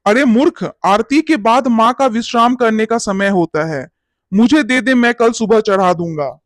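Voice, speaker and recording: A man's voice: sits high, moderate pace, dry recording, studio quality, natural voice. A man playing a greedy, glib and bossy village priest in a cartoon bedtime story, scolding and cajoling. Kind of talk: animated bedtime story character acting.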